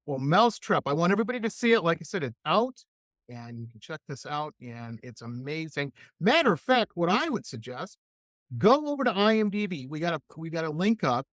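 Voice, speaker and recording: A man's voice: a little high, a little fast, no echo. Male radio host, middle-aged, upbeat and chatty, dry close mic. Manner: animated, enthusiastic, chatty. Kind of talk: casual interview chat.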